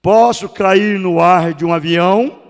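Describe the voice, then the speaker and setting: A man in his sixties with a Brazilian Portuguese accent, preaching a sermon as pastor, rising to loud cries and dropping to hushed tones. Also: high voice, unhurried, smooth. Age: 60s. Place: church sanctuary. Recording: long church hall reverb.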